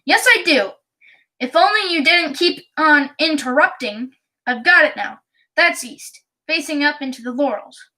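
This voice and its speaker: A woman's voice: high-pitched, animated, a little slow, sharp tone, exaggerated voice, low-quality recording. A woman voicing an eager, bossy schoolboy in a storybook reading. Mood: indignant, bossy.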